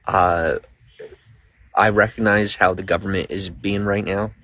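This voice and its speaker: A man's voice: sits low, steady tone, a little slow, a bit bright, no room sound. A young man streaming live, rambling casually while greeting viewers by name and reading out their comments. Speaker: livestreamer.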